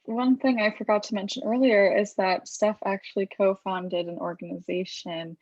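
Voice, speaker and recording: A woman's voice: mid-range voice, steady tone, moderate pace, a little mellow, dry recording. Woman moderator, dry and slightly dull audio. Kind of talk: informal moderating.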